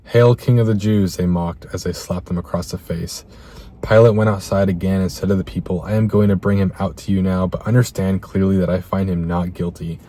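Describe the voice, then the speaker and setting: A slightly hoarse man talking in an earnest, reflective vlog and reading scripture aloud, halting now and then over repeated words. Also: low voice, fairly even intonation, mellow. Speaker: self-improvement vlogger.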